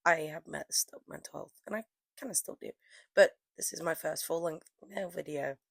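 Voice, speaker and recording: A woman's voice: sits low, normal speed, dry recording, studio quality. A young woman losing her voice to a cold, rambling casually with lots of fillers as she talks through her nail art. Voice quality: hoarse, croaky. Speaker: press-on nail artist. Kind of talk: casual chatty vlog narration.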